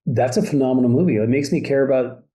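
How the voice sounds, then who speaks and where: A man in his fifties chatting casually as a guest, animated and often checking in with "right?". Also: medium pitch, quick pace. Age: 50s. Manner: animated, keeps adding "right?". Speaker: guest filmmaker. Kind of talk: casual chat.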